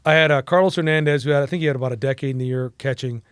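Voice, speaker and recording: A man's voice: medium pitch, very fast, clear, resonant tone, no room sound, studio quality. A Mexican-American man in his forties, telling a long casual story as a podcast guest, rambling with fillers and restarts. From United States.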